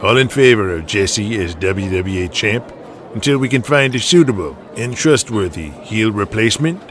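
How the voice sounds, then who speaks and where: A man voicing an older, authoritative wrestling alliance president in an audio drama, weary, measured and deliberate. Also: lowish voice, expressive, a bit bright, a little hiss or hum. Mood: commanding.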